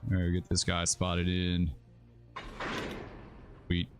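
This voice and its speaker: A man's voice: deep voice, monotone, slow pace, faint music behind. Laid-back man streaming a game. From Alabama, USA. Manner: laid-back, rambling, chats with viewers, says dude and bro. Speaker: livestreaming gamer host. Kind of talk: casual live-stream commentary.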